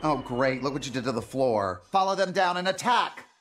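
A woman's voice: rumbling low, lively intonation, moderate pace, very noisy. A woman playing a scheming, commanding alien tyrant with a smug, gleeful manner in a cartoon game. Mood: irritated, commanding. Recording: music behind the voice.

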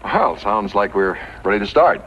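An actor playing a courteous, reassuring cavalry lieutenant in a 1950s radio western, polite and formal. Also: male voice, on the low side, lively intonation, normal speed, fairly bright tone. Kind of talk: 1950s radio drama acting. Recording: muffled, hissy old radio recording.

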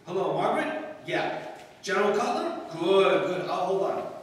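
A man playing a brash, self-serving boyfriend in an old-time radio drama, brisk, bossy and excitable. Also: high-pitched. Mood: self-important. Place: live stage in a hall, distant audience camera. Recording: echoey hall stage from far off, noisy.

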